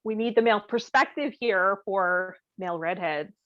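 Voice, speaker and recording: A woman's voice: mid-range voice, normal speed, no echo. A woman co-hosting a podcast in casual, comedic conversation. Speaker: podcast co-host. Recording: dull, dry audio.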